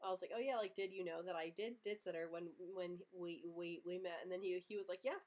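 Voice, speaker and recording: A young woman who stutters often, with repeated sounds and blocks, hosting a casual live Q&A, earnest and quick to laugh. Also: on the low side, fairly even intonation, darkish tone. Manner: earnest, open, laughs easily. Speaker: livestream host answering viewer questions.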